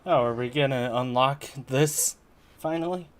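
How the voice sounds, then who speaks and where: A man's voice: mid-range voice, unhurried, clear, resonant tone. Man casually commenting over a game. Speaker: game streamer.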